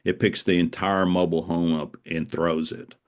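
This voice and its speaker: Deep-voiced man, earnest and halting. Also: little pitch movement. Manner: earnest, halting, repeats phrases for emphasis. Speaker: author being interviewed. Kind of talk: reflective conversational storytelling.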